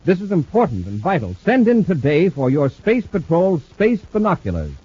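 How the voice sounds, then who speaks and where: Space commander, earnest, commanding, lively pitch, muffled old radio sound. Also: male voice, moderate pace, husky, no room sound, exaggerated voice. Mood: urgent pitch. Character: brave male space commander, firm and earnest. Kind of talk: 1950s radio drama acting.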